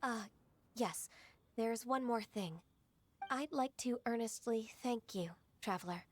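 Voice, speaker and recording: A woman voicing a gentle, dutiful, long-lived female secretary in a video game, soft, polite and earnest. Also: a little high, slow, a little hiss or hum. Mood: grateful, shy.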